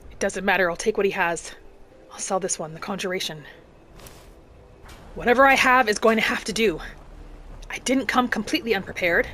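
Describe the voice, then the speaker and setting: A woman hosting a gaming channel, narrating her roleplay in character in a measured, earnest storytelling way. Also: medium pitch, sharp tone. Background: background music.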